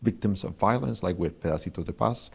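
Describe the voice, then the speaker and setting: A male artist giving thoughtful interview answers, pausing often to search for the right words. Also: sits low, creaky, slightly rough.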